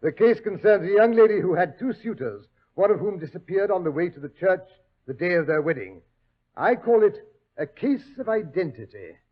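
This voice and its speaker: An actor playing a bluff, excitable older doctor who blusters, in a 1940s radio drama. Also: male voice, sits high, moderate pace, slight background noise. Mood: genial. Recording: dull old radio recording.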